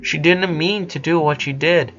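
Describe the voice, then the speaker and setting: A man's voice: fairly high pitch, moderate pace, fairly bright tone, dull audio, pitched above the performer's own voice, close to an everyday voice. A man reading the part of a kind, worried eleven-year-old boy in a story, hesitant and earnest. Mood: worried, weary.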